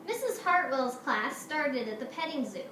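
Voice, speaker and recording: A woman teacher doing an expressive picture-book read-aloud for her pupils. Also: high-pitched, normal speed, recorded from a distance. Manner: expressive, animated storytelling. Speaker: schoolteacher reading to pupils. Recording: roomy, echoey, noisy home-style recording.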